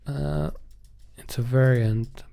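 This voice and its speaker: A man with a soft, mellow voice at an average pitch talks casually through his coding work. He thinks aloud, hesitating and half speaking to himself like a streamer at his desk. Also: fairly even intonation, slow, vocal fry.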